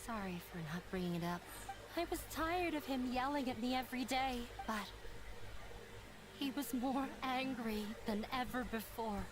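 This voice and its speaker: Casting: actress as teenage-style runaway daughter for anime game, high voice, sorrowful, defeated temper. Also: female voice, animated, moderate pace, natural voice. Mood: sad, bitter. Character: young runaway daughter, guilt-ridden and resigned. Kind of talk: anime video game voice acting.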